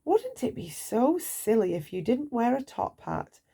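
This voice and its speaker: A woman's voice: fairly high pitch, big pitch swings, a little slow, gravelly, warm tone, husky, voice pushed up, heavily acted. A woman voicing a silly, playful man from a children's storybook who delights in nonsense. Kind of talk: storybook character voice.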